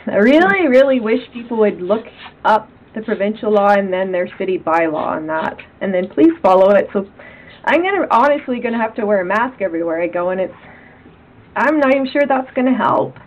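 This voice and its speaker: Raspy-voiced woman, emphatic podcast co-host. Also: medium pitch, expressive, fairly quick, highs cut off. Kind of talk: casual panel chat.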